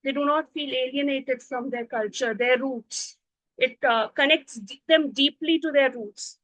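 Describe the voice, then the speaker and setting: A middle-aged woman whose first language is Urdu, a Montessori teacher talking gently and unhurriedly, with many pauses and "you know"s. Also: high voice, no room sound, rough recording. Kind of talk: reflective talk to camera.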